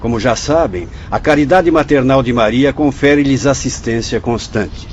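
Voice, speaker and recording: A man's voice: mid-range voice, lightly acted. Male, Brazilian accent: actor playing a grave, fatherly hospital guide in a radio drama, formal and instructive, muffled audio with faint noise. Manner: didactic, formal, measured. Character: solemn fatherly spirit doctor guiding visitors through a hospital.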